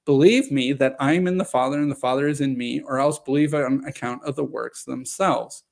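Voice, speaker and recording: A slightly hoarse man giving a measured lecture, reading scripture passages aloud and explaining them. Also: mid-range voice, fairly even intonation. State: slightly hoarse from long talking. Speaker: video presenter on scripture. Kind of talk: lecture-style reading and commentary.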